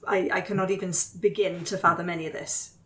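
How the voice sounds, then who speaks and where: A woman's voice: lowish voice, flat delivery, fast, fairly bright tone. A woman chatting casually as a player in a role-playing game, hesitantly thinking aloud. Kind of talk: casual improvised game talk.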